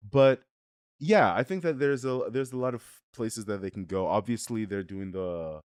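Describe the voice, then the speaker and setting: A man's voice: on the low side, normal speed, darkish tone, smooth, no echo, studio quality. A man hosting a casual review chat, talking in a conversational, hedging way. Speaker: video review host.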